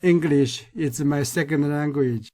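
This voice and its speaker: Slow-speaking man with a Chinese accent. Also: on the high side, warm tone. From China. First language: Mandarin Chinese. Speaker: researcher. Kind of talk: recorded lecture.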